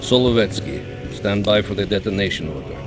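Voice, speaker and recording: An actor playing a smug, menacing Soviet spymaster in a video game, taunting slowly. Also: male voice, lowish voice, music in the background, lightly acted. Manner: slow, taunting.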